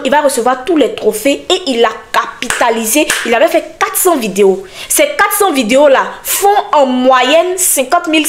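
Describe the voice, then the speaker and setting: A young woman hosts a casual podcast, talking directly to her audience. Her voice sits high. She stays animated and emphatic, pressing each point home. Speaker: podcast host and content creator.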